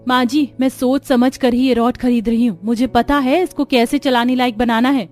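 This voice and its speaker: Lady doing a clever young bahu in a cartoon, practical and eager, bit high voice. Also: steady tone, normal speed, a little mellow, faint music behind, lightly acted. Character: resourceful, eager young daughter-in-law of poor household. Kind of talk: Hindi cartoon story acting.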